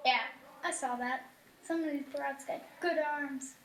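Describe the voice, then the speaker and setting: A woman's voice: sits high, steady tone, slow pace, a bit bright, a little hiss or hum, exaggerated voice. A woman playing a cheeky, streetwise young newsboy in a radio play, brash, slangy and wisecracking. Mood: cocky, teasing.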